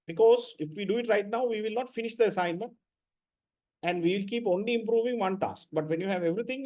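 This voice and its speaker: A man's voice: sits high, darkish tone. A man lecturing students in an online class, blunt and repetitive, often checking with "right?".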